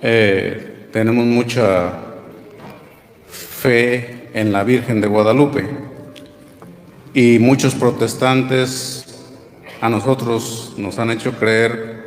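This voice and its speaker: Slow, hesitant Mexican man in echoey hall. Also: mid-range voice, level tone. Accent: Mexican Spanish. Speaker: audience member asking a question. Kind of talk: spoken question at a religious talk. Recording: echoey hall with long reverb.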